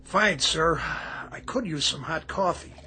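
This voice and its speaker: A man's voice: fairly high pitch, a little slow, bright, forceful tone. A man playing a dutiful, rattled Navy bosun and diver in a radio drama, clipped and deferential. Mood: dutiful, weary. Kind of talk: radio theatre acting.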